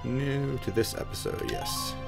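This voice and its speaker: A man's voice: mid-range voice, a little slow, gravelly. A man, giving casual, rambling gameplay commentary with wry asides. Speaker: gamer narrating a playthrough. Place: indoor room at home. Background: game music.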